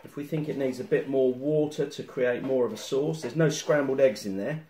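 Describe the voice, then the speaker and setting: A man's voice: medium pitch, moderate pace, warm tone, a little hiss or hum. A middle-aged man giving step-by-step cooking instructions in his home kitchen, filmed by a phone camera across the room. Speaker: home cook. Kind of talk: cooking demonstration. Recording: roomy kitchen sound from a distance. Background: sizzling pan and boiling water.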